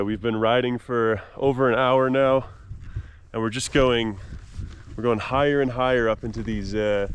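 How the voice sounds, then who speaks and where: A man's voice: fairly low pitch, clean tone, no echo. A man from Canada narrating a casual travel vlog outdoors on a mountain dirt road, chatty and upbeat, with frequent "uh" fillers. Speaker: travel vlogger.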